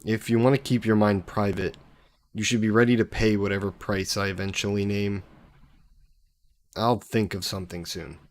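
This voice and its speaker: A man's voice: lowish voice, steady tone, moderate pace, lightly acted. A man playing a sassy, possessive, centuries-old demon in an audio roleplay, speaking haughtily and sardonically in formal wording. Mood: sly, possessive. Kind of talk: scripted audio roleplay addressed to the listener.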